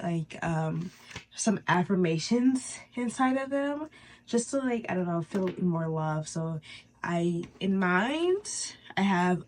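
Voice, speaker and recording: A woman with a stuffy, congested nose hosting a casual, chatty spiritual tutorial, informal and halting with pauses for breath. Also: lowish voice, darkish tone. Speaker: host of a spirituality channel.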